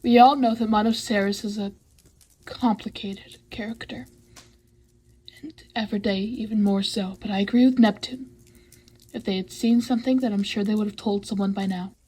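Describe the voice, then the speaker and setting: A woman playing a level-headed female leader in an audio roleplay, speaking in a measured, firm way with a husky, breathy voice. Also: mid-range voice, fairly even intonation, mellow, close to an everyday voice, rough recording. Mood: calm, firm.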